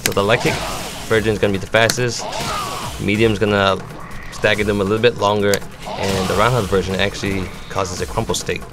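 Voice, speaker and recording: A man's voice: fairly low pitch, little pitch movement, a little fast. A man giving step-by-step tutorial commentary on a fighting game, explaining as a gamer presenting a demo. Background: music.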